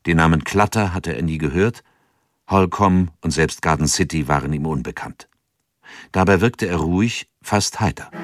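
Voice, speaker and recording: Deep-voiced calm male narrator. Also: no room sound. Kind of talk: scripted narration.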